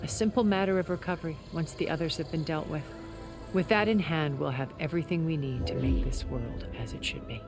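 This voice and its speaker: A poised middle-aged schemer in a video game cutscene. She speaks in measured, articulate phrases with complete self-assurance, over clearly audible music. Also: low voice, animated. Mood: assured, scheming. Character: poised, calculating woman, ageless schemer from space.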